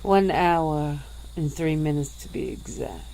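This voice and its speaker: Lady doing a low husky old vampire woman, super slow, dark soft tone, faint hiss behind. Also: low-quality recording. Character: ancient brooding female vampire, wry and weary. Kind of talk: ASMR roleplay.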